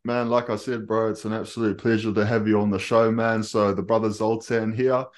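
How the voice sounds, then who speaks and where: Low-voiced guy, slangy relaxed host. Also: brisk. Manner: laid-back street slang, "bro" and "man". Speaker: podcast host. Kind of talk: casual interview hosting.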